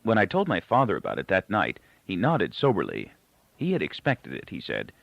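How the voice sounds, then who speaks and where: A man's voice: sits low, huge pitch swings, normal speed, gravelly, no room sound. A man reading literary fiction aloud as a scripted narration. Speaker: audiobook reader. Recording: dull, lo-fi, close dry recording.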